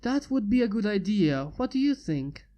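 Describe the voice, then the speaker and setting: A fanfiction reader voicing a blunt, gruff inventor who has become a father. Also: mid-range voice, very animated, moderate pace, dark tone, lightly acted.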